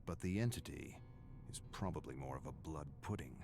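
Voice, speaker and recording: A weary, brooding scholar narrates his journal from a foggy prison in a video game. His voice is low and his delivery is measured, formal and solemn. Music plays clearly behind him. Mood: ominous, philosophical.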